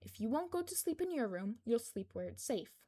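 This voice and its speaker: Woman acting out a high-voiced, brusque, protective woman soldier. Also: very animated, moderate pace, dark tone. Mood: firm, protective. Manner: bossy, scolding. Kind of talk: fan fiction audiobook character dialogue.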